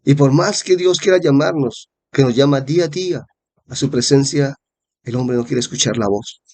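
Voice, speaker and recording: A man's voice: mid-range voice, moderate pace, darkish tone. A man giving a Bible-teaching sermon, congested with a cold and repeating phrases for emphasis. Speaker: preacher.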